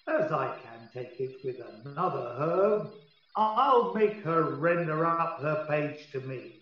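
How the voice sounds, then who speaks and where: A man playing a scheming, haughty fairy king in a Shakespearean radio play, relishing each phrase theatrically. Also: a little high. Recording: muffled, very echoey, distant from mic.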